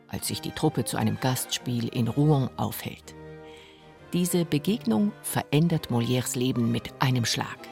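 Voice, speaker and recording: A man's voice: mid-range voice, creaky, a little mellow. A man reading scripted documentary narration for a radio feature. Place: radio studio.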